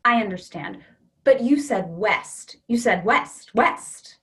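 A woman reading the part of a calm, literal-minded character who keeps pressing her point, in a staged play reading. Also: a little high, takes it slowly. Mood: arguing calmly. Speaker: reader in a readers theatre play.

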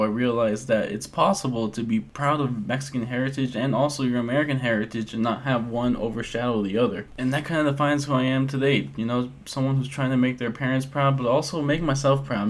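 An earnest young man in his twenties hosts a casual solo podcast. His voice sits at an ordinary mid pitch, and he rambles through his thoughts with plenty of fillers and restarts. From Las Vegas, US. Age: 20s. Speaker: podcast host.

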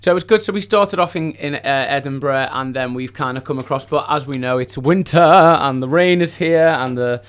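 A man, a singer being interviewed in a radio studio, chatting casually in an effusive, grateful way at a medium pitch. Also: brisk, hardly any pauses, clear, resonant tone.

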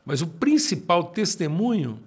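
A man in his sixties with a Brazilian accent, a pastor telling his own story in conversation and slowing down to stress his points. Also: high-pitched, sing-song, slightly rough. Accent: Brazilian Portuguese. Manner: emphatic, slows down to stress points.

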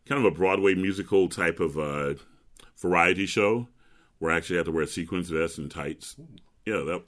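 A man with a very low, resonant bass voice that carries. He is middle-aged and talks in a relaxed, chatty way, telling long stories and laughing easily as an interview guest. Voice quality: deep resonant bass speaking voice.

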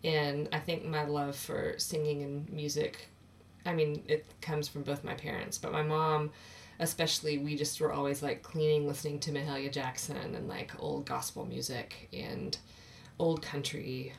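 Woman in her thirties, low alto voice, unhurried, self-deprecating guest; slightly distant mic, faint room hum. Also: creaky. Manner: relaxed, self-deprecating, many fillers. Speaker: podcast guest. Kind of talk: casual conversation.

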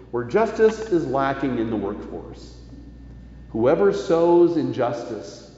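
A man preaching a sermon in a deliberate, emphatic way, with rhetorical phrasing. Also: mid-range voice, darkish tone. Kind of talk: sermon, partly reading quoted news aloud. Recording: echoey church hall, slightly dull sound.

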